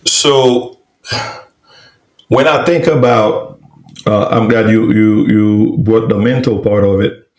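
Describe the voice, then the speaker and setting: Slow-talking man from Haiti hosting. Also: fairly low pitch, fairly even intonation, a little mellow. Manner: probing, slow, circling back with long questions. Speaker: host. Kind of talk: interview questions. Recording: roomy echo.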